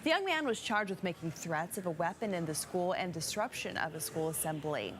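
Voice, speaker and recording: A woman's voice: fairly low pitch, animated, brisk, a little hiss or hum. A woman anchoring the news, reading scripted stories in broadcast style.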